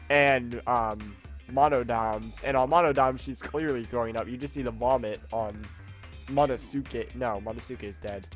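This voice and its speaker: A man talks his way through a video game with a blocked, stuffy nose from a cold, in an ordinary mid-range voice. He rambles casually, cuts himself off and swears now and then, while faint game music plays underneath. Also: mid-range voice. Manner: chatty, self-interrupting, laughs often, swears casually. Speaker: let's play host. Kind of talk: casual game commentary with character voice readings.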